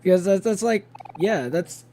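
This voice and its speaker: A man livestreaming a video game, chatting casually and haltingly with viewers, with informal, sweary speech. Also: high voice, expressive, dark tone. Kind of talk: casual chat with stream viewers. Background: game music.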